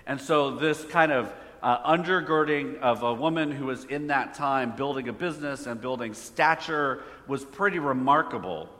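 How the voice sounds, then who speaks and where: A man's voice: mid-range voice, moderate pace, hardly any pauses, fairly bright tone. A man preaching a conversational sermon, asking the audience questions. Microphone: phone hotspot for slides. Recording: echoey hall reverb.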